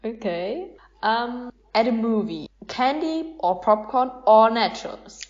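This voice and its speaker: A woman's voice: on the high side, lively intonation, slow pace, a little mellow. Woman hosting a chatty podcast, slightly muffled. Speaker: podcast host asking quick either-or questions. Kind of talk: casual podcast chat. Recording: slightly dull podcast recording.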